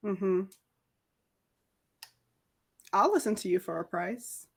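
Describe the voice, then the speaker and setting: A woman's voice: mid-range voice, expressive, moderate pace, breathy edge. A woman playing a tabletop roleplaying game, speaking casually as she improvises lines in character.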